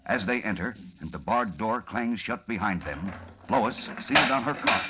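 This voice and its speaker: A man's voice: lowish voice, expressive, moderate pace, sharp tone, a little hiss or hum. A man narrating a 1940s radio serial in a grave, theatrical, suspenseful style. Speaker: announcer-narrator. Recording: dull old radio recording.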